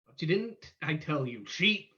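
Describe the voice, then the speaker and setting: A woman playing a composed, courteous gentleman sleuth in an old-time radio drama reading. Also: deep voice, lively intonation, unhurried, full character voice. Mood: urgent. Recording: muffled, lo-fi sound.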